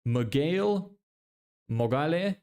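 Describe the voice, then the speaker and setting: A man's voice: a little high, animated, slow, darkish tone, clean tone. A young man from Lithuania with an American-sounding accent, chatting loosely on a livestream, reading viewer comments and joking about himself. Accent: American-sounding English. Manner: loose, self-deprecating, swears casually, laughs often. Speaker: livestreamer building a business.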